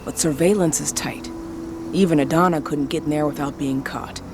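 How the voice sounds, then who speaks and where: An actress playing a frank, supportive activist comrade and old friend, casual and blunt, in a video game cutscene. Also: female voice, low voice, normal speed. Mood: matter-of-fact. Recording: clear ambient noise behind the voice.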